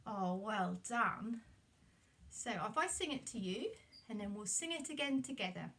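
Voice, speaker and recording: A woman telling a story to children in an animated puppet-show style. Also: medium pitch, unhurried. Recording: distant microphone.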